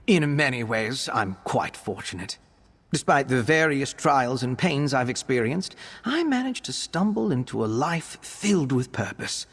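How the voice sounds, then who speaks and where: Young male vampire scholar, game character, earnest, bookish, slightly breathy husky voice, very animated intonation. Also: medium pitch, unhurried, in a large hall, full character voice. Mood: earnest, reflective. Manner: earnest, expressive, articulate and bookish. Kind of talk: video game character voice acting.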